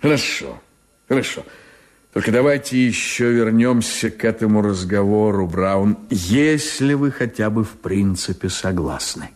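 A man's voice: fairly low pitch, unhurried, natural voice. An actor playing a chummy, scheming male adventurer with a persuasive, emphatic way of talking in a radio drama. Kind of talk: Soviet radio drama acting. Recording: Soviet radio play with music underneath.

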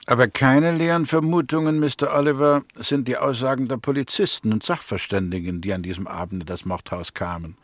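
A man's voice: medium pitch, brisk, few pauses, no room sound, natural voice. An actor in a 1960s German radio play as a sober, persistent prosecutor, formal and methodical in his coolly probing delivery. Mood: firm.

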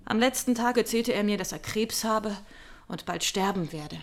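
Actress as composed, disillusioned former mistress, medium pitch. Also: female voice, normal speed, lightly acted. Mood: sober recollection. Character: former mistress, sober and disillusioned adult woman. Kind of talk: German radio drama acting, first-person account.

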